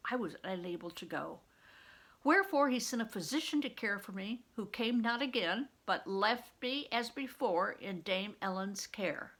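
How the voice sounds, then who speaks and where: A woman's voice: medium pitch, fairly bright tone. A woman voicing an earnest young boy, an eager pupil with old-fashioned formal phrasing, in a storybook.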